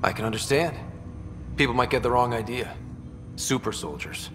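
A man's voice: mid-range voice, moderate pace, over music, lightly acted. A young man acting as an inquisitive space explorer in a video game, with a casual, questioning manner. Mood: curious. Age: young adult.

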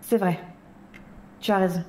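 A woman's voice: mid-range voice, steady tone, measured pace, a little mellow, a little hiss or hum, close to an everyday voice. An actress playing an earnest, troubled mother who doubts herself, serious and hesitant, in an audio drama. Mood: sad, self-reproaching. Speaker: voice actor in a fan audio drama. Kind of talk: French audio drama acting. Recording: roomy sound with music behind.

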